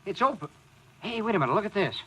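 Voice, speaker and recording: A man playing a level-headed newspaper editor in an old-time radio mystery, brisk and wry as an amateur sleuth. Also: high-pitched, expressive, bright, forceful tone, breathy edge, full character voice, low-quality recording. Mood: urgent, suspicious.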